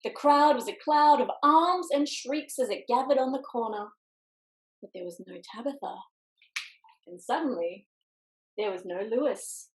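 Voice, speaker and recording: Woman doing kids storytime reading, higher voice, very expressive, echoey room on a video call. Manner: expressive, dramatic pauses and emphasis on words. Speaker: picture book author reading aloud. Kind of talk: animated children's storytime reading with quiet asides. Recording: roomy echo on video call.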